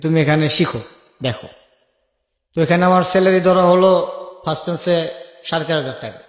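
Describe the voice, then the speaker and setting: Echoey, higher-voiced Bangladeshi man telling his story. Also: a little fast. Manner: colloquial narration that acts out remembered dialogue. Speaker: interview guest telling his life story. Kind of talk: personal storytelling in a radio-style interview. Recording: echoey with long reverb.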